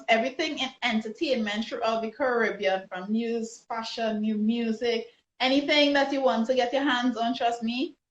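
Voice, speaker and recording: Trinidadian woman, host, fairly high voice, chatty and upbeat, roomy echo. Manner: upbeat, chatty, frequent restarts and fillers. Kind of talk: live online interview chat. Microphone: phone or laptop on a video call. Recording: roomy, dull lo-fi livestream audio.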